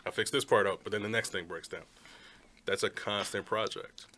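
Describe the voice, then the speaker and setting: Man making measured counterpoints, low voice. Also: fairly even intonation, sharp tone, a little hiss or hum. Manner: reasoned, uses analogies, joking asides. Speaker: panel guest. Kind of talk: casual group discussion.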